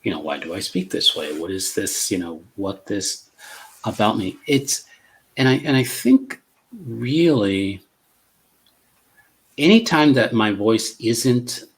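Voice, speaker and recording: A middle-aged man with a fairly low voice talks slowly and calmly on a video call. He keeps his words measured and gentle, often pausing with fillers and starting over. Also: poor audio. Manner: measured, calm, with many fillers and restarts. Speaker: co-host. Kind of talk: casual conversation.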